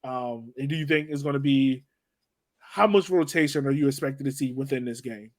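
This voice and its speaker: A man's voice: medium pitch, normal speed, no echo. A man hosting a casual sports podcast, talking in an informal, slangy way. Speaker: podcast host.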